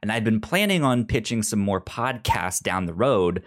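Halting, stuttering podcast host in casual discussion. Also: medium pitch, expressive. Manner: halting, with frequent stuttered repeats of sounds and words.

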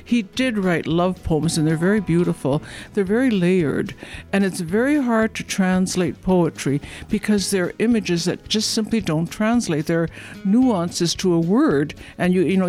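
A woman's voice: lowish voice, a little mellow. A woman who is a museum board member, leading a guided tour with enthusiastic, admiring talk.

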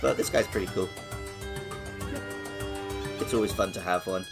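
A man's voice: sits low, steady tone, normal speed, creaky. A man co-hosting a podcast in casual, enthusiastic gaming discussion. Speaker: podcast host. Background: background music.

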